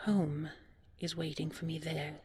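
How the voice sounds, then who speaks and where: Earnest, soft-spoken, humble young hero, low-voiced woman. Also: level tone, a little slow, slight background noise. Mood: weary. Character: humble, devoted, compassionate young fairy-tale hero.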